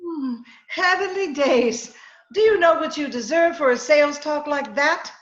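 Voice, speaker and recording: A woman's voice: high voice, measured pace. A woman playing a long-suffering, teasing wife with dry delivery in old-time radio comedy style. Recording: echoey, dull video call recording.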